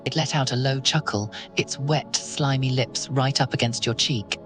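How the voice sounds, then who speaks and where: A narrator telling a horror story in second person, slow and ominous. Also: mid-range voice, music in the background.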